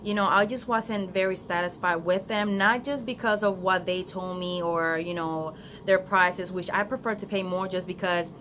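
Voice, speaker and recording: A young woman with a Spanish accent talks straight to her phone outdoors, chatty and earnest, stumbling through ums and restarts as she gives advice. Her voice sits a little low, and steady outdoor noise is clearly heard behind her. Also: normal speed, low-quality recording. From Florida, United States. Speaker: vlogger. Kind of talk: casual unedited vlog.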